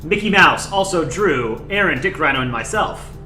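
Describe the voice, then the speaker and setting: A young man hosting a casual livestream, chatting in a relaxed, rambling way and thanking viewers by name. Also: fairly high pitch, moderate pace, roomy sound, faint music behind. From United States. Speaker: livestream host. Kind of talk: casual chat.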